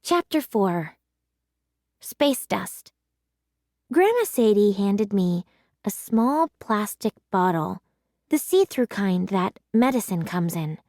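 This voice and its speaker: A woman narrating a children's story in an animated, emphatic storytelling manner. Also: mid-range voice, takes it slowly, no room sound, clean studio sound. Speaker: read-aloud storyteller. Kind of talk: expressive children's audiobook narration.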